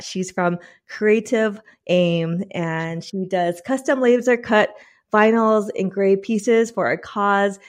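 A woman hosting a podcast and interviewing a guest in a bubbly, enthusiastic way. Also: medium pitch. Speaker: podcast host.

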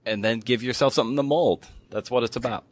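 A man's voice: medium pitch, fast talker, no room sound. A man hosting an interview podcast, chatting casually and emphatically in an informal, repetitive way. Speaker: podcast host.

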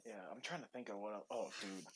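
A man's voice: on the low side, quick pace, fairly bright tone, slightly rough, dry recording. A young man with a lisp, rambling and swearing in a casual podcast chat with a friend. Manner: rambling and profane, full of "like". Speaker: podcast co-host.

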